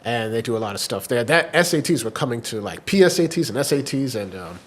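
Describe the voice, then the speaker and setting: Young man, podcast guest, easygoing, rambling, lots of fillers, middle pitch. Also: animated, fast talker. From Washington, D.C. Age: young adult. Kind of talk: casual interview chat.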